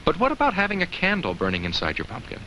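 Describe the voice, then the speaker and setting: A man's voice: a little high, wildly swooping pitch, fast talker, pushed and bright, heavily acted. A man voicing a cheerful, kindly talking pumpkin who gives children simple safety advice in a 1980s educational film, asking them questions. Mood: gently cautioning. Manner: simple, instructive, addresses children with questions and chuckles. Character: talking jack-o'-lantern, cheerful, kindly, safety-minded narrator. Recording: faintly noisy old educational film soundtrack.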